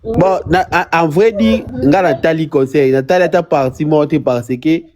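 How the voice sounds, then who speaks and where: Caller, man with a mellow, dark voice, rambling and halting. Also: on the high side. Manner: rambling, halting with many restarts. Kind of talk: live call-in chat.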